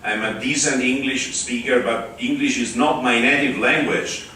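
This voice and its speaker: An Italian-accented man gives a conference talk in a large hall, slow and halting with frequent fillers and restarts. His voice sits at an ordinary pitch and comes from a distance, with heavy echo and faint room noise behind it. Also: fairly even intonation, bright, forceful tone. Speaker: conference speaker. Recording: echoey hall, far from the mic.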